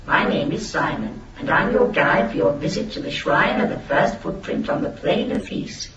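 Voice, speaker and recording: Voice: mid-range voice, big pitch swings, normal speed, lightly acted. Performer as a courteous robot tour guide, muffled. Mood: bland, courteous. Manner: formal, scripted, repetitive politeness. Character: polite automated tour-guide machine, courteous and unvarying. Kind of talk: science fiction radio drama acting. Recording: dull, noisy radio drama recording.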